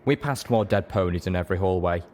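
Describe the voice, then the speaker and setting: A man reading a dramatic story as an audiobook narrator, measured, solemn and emotive. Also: sits low, a little mellow. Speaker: audiobook reader.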